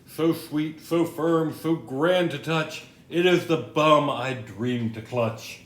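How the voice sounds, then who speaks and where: A woman acting a touchy, eccentric man at a store counter, very deep in pitch and stubbornly insistent. It is comic stage dialogue in an echoey space with faint noise. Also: slow. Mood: grandly reciting. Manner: insistent, indignant. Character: stubborn, touchy, eccentric male bookstore customer. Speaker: actor in a student play. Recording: roomy echo, low rumble, faint noise.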